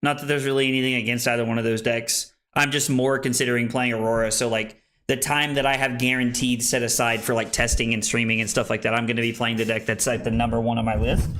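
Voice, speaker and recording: This is a young man in his late twenties who streams a card game and talks his plays through out loud. He is chatty and joking, and his pitch sits in an ordinary middle range. He keeps up a loose, casual flow of chat. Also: flat delivery, quick pace, few pauses. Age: 20s. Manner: chatty, joking, thinks aloud.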